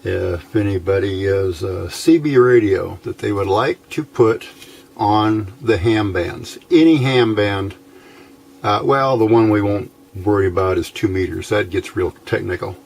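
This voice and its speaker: An older American man giving an informal technical explanation, unhurried and folksy, pausing often between short phrases. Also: fairly low pitch, creaky, darkish tone. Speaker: hobbyist radio builder, presenter.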